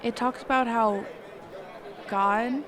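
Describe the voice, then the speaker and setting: A woman playing a sheltered, curious mage teacher in a conversational roleplay audio drama, halting and often correcting herself. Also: fairly high pitch, steady tone, crowd noise. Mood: flustered, curious. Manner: halting, hesitant, trailing off and self-correcting.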